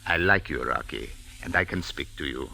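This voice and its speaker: An actor playing a dignified, hospitable desert sheikh with solemn, formal phrasing in an old radio drama. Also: male voice, a bass voice, expressive, moderate pace, creaky, pushed and bright, breathy edge, exaggerated voice. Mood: grave, confiding. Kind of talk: 1940s American radio drama acting. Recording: old-time radio recording.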